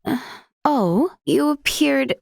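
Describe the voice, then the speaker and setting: A woman's voice: sits high, expressive, slow, gravelly, no room sound, clean studio sound, full character voice. An actress playing a polite, earnest young noblewoman in a fantasy video game, speaking in formal, archaic, courteous phrases. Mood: puzzled, animated. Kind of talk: video game character voice acting.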